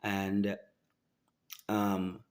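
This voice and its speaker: A man, telling his personal story to camera in a halting, earnest way with many restarts. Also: fairly low pitch, monotone. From Jamaica. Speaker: vlogger.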